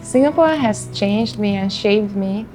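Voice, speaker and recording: Woman, thirties, Hungarian accent, mid-pitched voice, reflective unhurried interview talk, soft music behind. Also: lively intonation, rough recording. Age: 30s. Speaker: interviewee in a portrait documentary. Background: background music.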